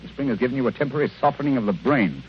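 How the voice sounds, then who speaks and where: An actor playing an irritable, aloof British gentleman detective with a clipped, world-weary delivery in an old-time radio drama. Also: mid-range voice, animated, racing, slight background noise, lightly acted. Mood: irritable, gloomy. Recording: muffled, dull old radio broadcast.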